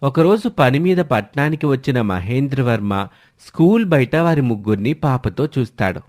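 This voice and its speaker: A man's voice: mid-range voice, lively intonation, normal speed, darkish tone. A man narrating a scripted dramatic family story as a storyteller.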